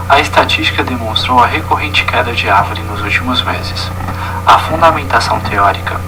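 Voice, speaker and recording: A young Brazilian man with a Brazilian Portuguese accent, reading a scripted student presentation in a formal manner. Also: medium pitch. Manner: formal, reading from a prepared text. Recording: distant mic with music underneath. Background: background music.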